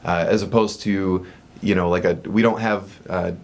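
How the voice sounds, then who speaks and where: A young man, the director of a performance troupe, answering radio interview questions earnestly with many pauses and fillers. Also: low voice, steady tone.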